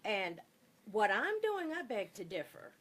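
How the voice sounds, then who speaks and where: A woman's voice: medium pitch, sing-song, measured pace, a bit bright. A woman talking earnestly as a cast member on an interview panel, making her points assertively and with repetition. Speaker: guest, stage play cast member. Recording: clearly noisy background.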